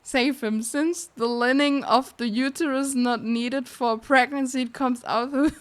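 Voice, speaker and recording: Slow-paced, higher-voiced woman streamer reading aloud. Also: steady tone. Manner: giggly, breaking into laughter while reading. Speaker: live streamer playing a video game. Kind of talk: reading game text aloud on a livestream.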